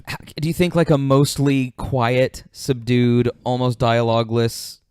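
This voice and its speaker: A man's voice: medium pitch, flat delivery, unhurried, darkish tone, clear, resonant tone, dry recording, studio quality. A man hosting a podcast in casual group chat, joking around and reading out listener comments. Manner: jokey, reads out listener picks. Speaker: podcast host.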